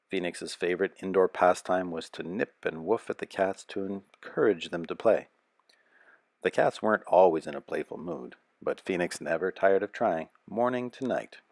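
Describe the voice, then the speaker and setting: A man narrating a children's picture book aloud as a storyteller, with a measured, expressive delivery. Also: low-pitched, no room sound, studio quality.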